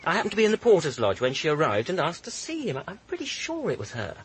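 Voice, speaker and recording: A man's voice: a little high, huge pitch swings, fast talker, slight background noise, poor audio. A man with a British accent playing a flippant, supercilious young student in a radio drama, arch and witty. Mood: guarded. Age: young adult.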